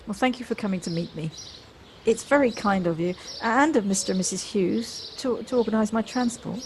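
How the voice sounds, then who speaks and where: A woman's voice: medium pitch, a little fast, lightly acted. An actress playing a polite, wistful city woman in an audio play, reflective and a little hesitant. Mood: polite, grateful. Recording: home device recording, light background noise.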